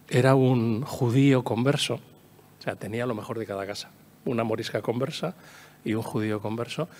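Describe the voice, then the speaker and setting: A man from La Mancha with a Castilian Spanish accent giving a discursive literary lecture, repeating phrases and adding storytelling asides and little tag questions. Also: mid-range voice. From La Mancha, Spain. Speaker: conference speaker, poet.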